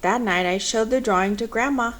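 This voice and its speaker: A woman reading a children's picture book aloud in a measured, expressive storytelling manner. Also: a little high.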